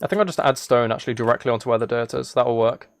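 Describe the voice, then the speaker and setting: A man streams live in a relaxed, soft-spoken manner, with a mid-pitched voice. He rambles and thinks aloud as he games, chatting casually to viewers. Keyboard typing can be heard as he talks. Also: rapid-fire, slight background noise. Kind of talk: casual livestream chat while gaming.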